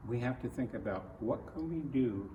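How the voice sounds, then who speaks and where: A man from Ohio with an American accent reads a picture book aloud to children, adding his own earnest, gentle comments in halting phrases. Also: medium pitch, lively intonation, highs cut off. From Ohio, United States. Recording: roomy echo, distant mic, faint noise.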